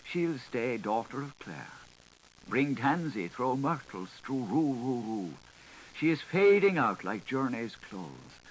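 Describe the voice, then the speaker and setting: A man's voice: medium pitch, rough recording. A man narrating a literary audiobook in a theatrical, lilting, singsong manner. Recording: hissy 1980s audiobook recording.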